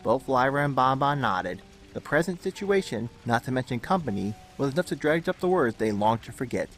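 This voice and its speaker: A man's voice: mid-range voice, big pitch swings, fairly quick, a bit bright. Male storyteller over faint music. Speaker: narrator. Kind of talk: scripted dramatic story narration. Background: music under the narration.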